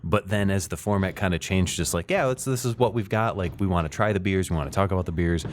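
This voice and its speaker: A man's voice: low voice, fast talker, slight background noise. A man hosting a beer podcast, chatting casually and rambling with lots of fillers and restarts. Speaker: podcast host. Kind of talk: casual chat.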